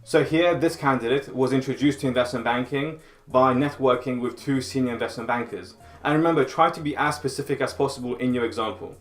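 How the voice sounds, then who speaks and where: A man's voice: mid-range voice, brisk, hardly any pauses. A man giving direct, advisory career coaching in a scripted instructional video. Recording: roomy sound with faint music.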